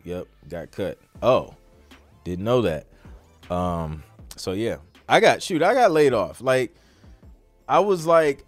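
A man's voice: lowish voice, dry recording. An American man hosting a podcast, chatting casually through a live Q&A in an informal, slangy, rambling way. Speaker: podcast host.

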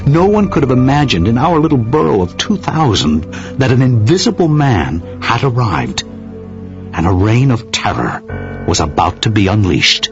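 A man's voice: medium pitch, sing-song, a little slow. A man playing a small-town witness who recounts uncanny events as dramatic, literary narration in an audio drama. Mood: grave, suspenseful. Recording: slightly dull audio with music behind. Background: music.

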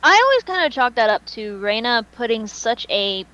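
A woman's voice: fairly high pitch, sing-song, normal speed, sharp tone, highs cut off. A woman podcast host chatting casually and giving her opinions, with plenty of "like" and some swearing. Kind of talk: casual fan chat.

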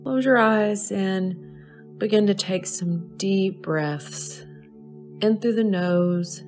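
A woman leading a guided meditation in a soothing, measured way, pausing between short phrases. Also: medium pitch, a little mellow, faint music behind. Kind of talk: slow guided meditation narration.

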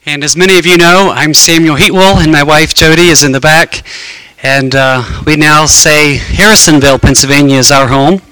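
A man's voice: fairly high pitch, fairly even intonation, moderate pace, hardly any pauses, sharp tone, clean tone, no echo, poor audio. A man speaking informally to an audience as he leads and introduces a program. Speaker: choir director introducing a program. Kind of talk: informal spoken announcement to an audience.

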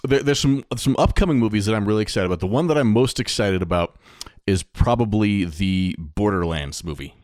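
A man's voice: on the low side, a little fast, darkish tone, no echo, studio quality. A middle-aged man chatting casually as a podcast co-host about things he follows. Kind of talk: casual conversation about movies and games.

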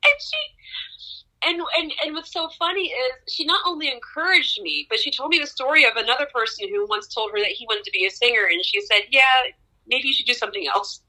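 A young adult woman, an opera singer, telling stories from her career in an interview with lively laughs and excited rises in pitch. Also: fairly quick, poor audio. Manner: animated storyteller, laughs often, pitch jumps up in excitement. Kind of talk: conversational interview storytelling.

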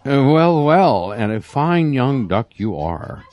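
Indulgent, affected rooster doctor, slow, theatrical rise and fall, hesitant, audio drama. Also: male voice, mid-range voice, sing-song, darkish tone. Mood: jovial. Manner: hesitant, affected, fussily soothing. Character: pompous, indulgent male rooster psychiatrist.

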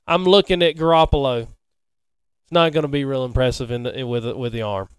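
A man's voice: mid-range voice, normal speed, smooth, dry recording. A man co-hosting a sports podcast in casual, conversational back-and-forth about sports.

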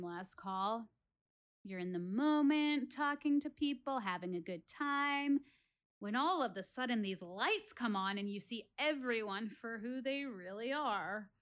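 An actress performs a reflective female warrior in a solo audio play. Her voice sits fairly high for a woman. She addresses her audience earnestly and theatrically, building rhetorical points with deliberate pauses. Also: fairly bright tone. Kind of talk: one-woman stage monologue audio play.

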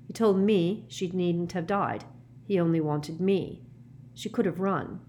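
A woman voicing a quiet, determined teenage boy wizard in a storybook, steady and insistent. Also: lowish voice, measured pace, slight background noise, close to an everyday voice. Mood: remorseless.

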